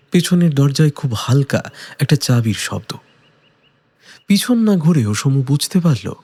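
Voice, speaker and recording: A man's voice: mid-range voice, warm tone, breathy edge. A man narrating a detective audio story from a script in a dramatic style.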